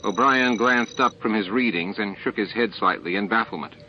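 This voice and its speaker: Low-voiced man narrating, muffled. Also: normal speed, slight background noise. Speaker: audiobook narrator. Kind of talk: scripted narration of a science fiction novel. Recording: muffled, band-limited tape audio.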